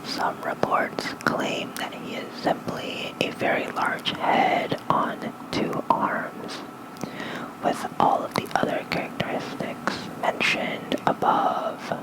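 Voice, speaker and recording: An ASMR creator softly whispering a bedtime lore reading with casual asides, gentle and breathy, close on a fluffy-covered mic. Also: low-quality recording. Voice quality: soft breathy unvoiced whisper. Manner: slow, gentle, unhurried.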